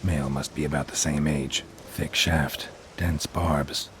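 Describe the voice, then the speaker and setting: An actor playing a gruff male monster hunter who speaks in clipped, flat, terse phrases. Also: extremely low pitch, measured pace, a little hiss or hum. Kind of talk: video game voice acting. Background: game music.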